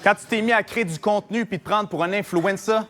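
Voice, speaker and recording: A man with a Québécois French accent spits mocking a cappella punchlines in a rap battle. His voice sits high for a man. The live recording carries plenty of background noise from the event. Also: fast. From Quebec. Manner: punchline delivery, mocking, laughing along. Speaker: battle rapper. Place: live battle venue with audience.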